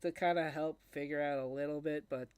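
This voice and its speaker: A man with a slightly high voice chats over a voice call while he draws. He thinks aloud in halting, unhurried phrases and leans on fillers as he works things out. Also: steady tone, a little mellow, smooth, no echo. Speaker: guest on voice call. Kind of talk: casual chat while drawing.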